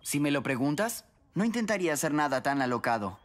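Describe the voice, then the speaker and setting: A man playing a smooth-talking, sly young gang newcomer in a cartoon dub. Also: on the high side, very animated, fairly quick. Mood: smooth, persuasive. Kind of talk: animated cartoon dub acting.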